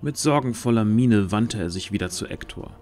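Low-voiced male storyteller. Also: expressive, normal speed, darkish tone. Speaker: narrator. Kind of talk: scripted story narration. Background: music.

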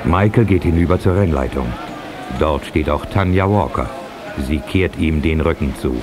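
A male storyteller narrates an action audio drama from a script. His voice is very low, set over loud music. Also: moderate pace. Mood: suspenseful.

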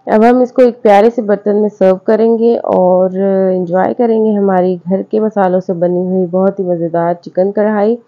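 A woman's voice: mid-range voice, fairly even intonation, normal speed, few pauses. A woman narrates a cooking video over the footage, friendly and patient. Her mid-range voice runs through each step in a chatty, repetitive home-cook way. The recording sounds a little dull and lo-fi. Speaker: cooking channel host. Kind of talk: voice-over recipe instructions.

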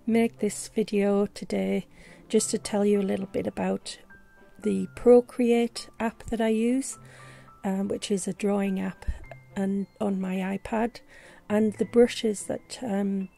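Woman, losing her voice, gravelly, mellow, hesitant fillers, faint background music. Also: mid-range voice, fairly even intonation, takes it slowly. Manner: hesitant, many fillers and restarts. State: losing her voice, sore throat. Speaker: vlogger. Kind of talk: casual screen-recorded tutorial. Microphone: voice-over on screen recording.

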